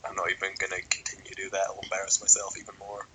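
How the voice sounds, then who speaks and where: A man's voice: low voice, completely flat delivery, racing, pushed and bright, a little hiss or hum. A young man with a Scottish accent, joking and swearing in a casual podcast chat as co-host. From Scotland. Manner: joking, laughs often, swears freely.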